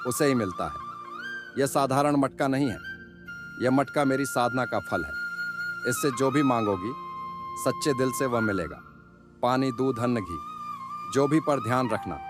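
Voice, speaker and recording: A man acts out a kindly village sage for an animated fable. He is solemn and instructive, speaking in a medium-pitched voice with flute music clearly behind him. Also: moderate pace, long pauses, natural voice. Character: kindly solemn holy man granting a magic pot. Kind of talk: animated moral story acting.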